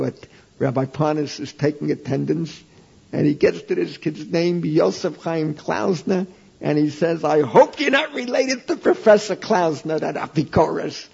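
An older man, a rabbi and teacher, telling an anecdote to a class in an animated, informal lecture, raising his voice when he quotes himself, on a dull lo-fi archival tape. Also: fairly high pitch, creaky, breathy edge. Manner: animated anecdotal, voices his own past lines loudly.

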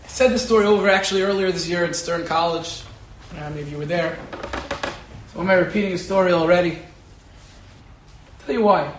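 Animated high-voiced man giving a Torah talk. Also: a little fast, pauses often, roomy sound, background noise. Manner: animated, emphatic, repeats key phrases. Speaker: rabbi teaching a Torah class. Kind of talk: Torah lecture with a personal story.

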